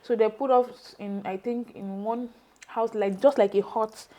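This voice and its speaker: A woman's voice: fairly high pitch, fairly even intonation, unhurried, dark tone. A Nigerian woman whose first language is Yoruba, telling her own story in a loose, conversational way with many fillers and restarts.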